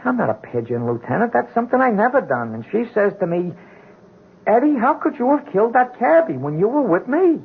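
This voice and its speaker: A man's voice: sits high, big pitch swings, fairly quick, vocal fry, breathy edge, heavily acted. A man playing a meek, hapless drunkard pleading innocence in a radio drama, stammering and ingratiating in uneducated street talk. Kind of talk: old-time radio drama acting. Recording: muffled 1970s radio drama broadcast.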